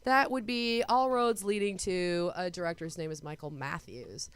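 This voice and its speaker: A woman composer chatting as a guest on a talk show, telling lively stories with quick asides. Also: no room sound. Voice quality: smooth, very low singing voice. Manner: animated storyteller, quick asides. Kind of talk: casual interview chat.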